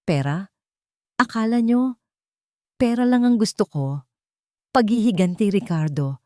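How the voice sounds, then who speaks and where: A woman's voice: medium pitch, animated, unhurried, dark tone, dry recording, clean studio sound, pitched above the performer's own voice, natural voice. A woman voicing a cold, vengeful, wealthy businesswoman in an audio drama, commanding and taunting. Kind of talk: dramatic audio story dialogue.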